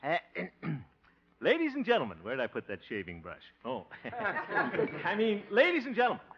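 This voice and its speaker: An actor playing a good-natured, flustered homemaker host who is running for mayor, in a 1950s radio comedy. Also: male voice, sits high, huge pitch swings, normal speed, crowd noise. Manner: chuckling, flustered, rehearsing aloud. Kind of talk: 1950s radio sitcom acting. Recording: dull old 1950s radio broadcast.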